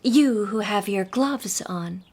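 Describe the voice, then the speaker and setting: Coy, husky young lady detective. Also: mid-range voice, slow pace, darkish tone. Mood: teasing, accusing. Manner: arch, playful, genteel. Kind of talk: period detective radio drama acting.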